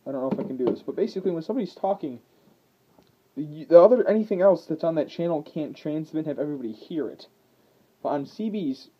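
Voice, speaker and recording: A man giving a casual, rambling product demonstration, talking in a hesitant, halting way that often trails off. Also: on the high side. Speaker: amateur video maker. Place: indoors, at a wooden table. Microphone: handheld camera's built-in microphone. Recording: dull lo-fi camera audio with noise and clicks.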